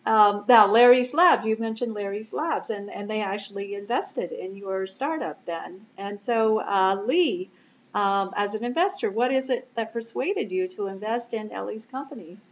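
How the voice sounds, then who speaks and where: A woman's voice: mid-range voice, normal speed. Woman interview host, dull noisy recording. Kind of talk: conversational on-camera interview. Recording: roomy background noise, dull lo-fi sound.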